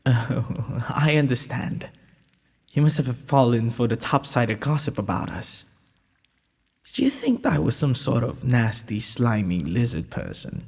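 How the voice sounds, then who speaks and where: A man playing a gentle, courteous young prince in a romantic audio roleplay, speaking formally and a little hesitantly. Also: mid-range voice, very animated, measured pace, full character voice. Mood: pleased, welcoming. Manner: formal, polite, breathy speech with hesitations. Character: gentle, courteous young underground prince, nervous, earnest suitor. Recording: long hall-like reverb.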